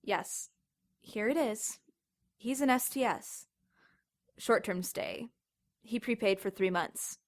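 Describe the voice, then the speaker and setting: An actress playing a chatty, casually sarcastic young motel desk clerk in an audio drama. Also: female voice, a little high, slow, long pauses, slight background noise. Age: young adult. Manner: casual, sarcastic, then terrified.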